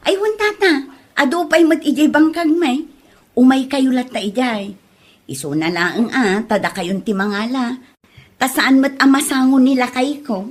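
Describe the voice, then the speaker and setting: A woman's voice: sits high, normal speed. A woman with an Ilocano accent playing a helpful, generous village neighbour in a comedy drama. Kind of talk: comedy radio-style drama acting.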